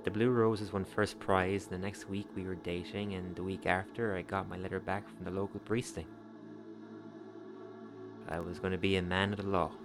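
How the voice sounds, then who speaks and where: A man performs a young man looking back on a doomed love affair in a dark-comic radio play. His voice is low, his storytelling wistful and plain-spoken, blunt even when the language turns poetic. Music plays clearly behind him. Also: moderate pace. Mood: frank.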